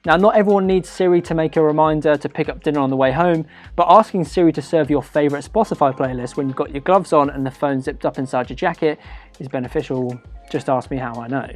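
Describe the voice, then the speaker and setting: A man's voice: on the high side, fast talker. A British man presenting a product review in an informal, chatty way with emphatic stresses. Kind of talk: semi-scripted video product review, conversational. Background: background music.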